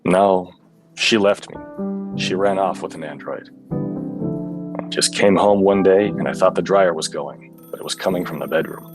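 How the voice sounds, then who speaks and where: An actor playing a bitter, boozy, clueless android-hunting detective in a noir radio play, with a hard-boiled, melodramatic deadpan. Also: male voice, low voice, normal speed, music in the background, close to an everyday voice. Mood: clueless, earnest.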